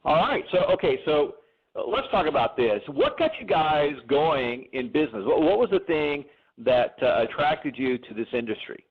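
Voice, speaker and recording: A man's voice: fairly high pitch, big pitch swings, moderate pace, husky. Male radio host with phone-thin sound. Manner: friendly, repeats words when starting. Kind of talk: radio interview hosting. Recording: phone-quality radio audio.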